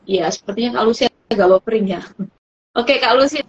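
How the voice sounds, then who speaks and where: A woman's voice: on the high side, brisk, slightly rough, lo-fi sound. A woman co-hosting an online Bible study live stream in a chatty, casual way, often laughing and restarting sentences.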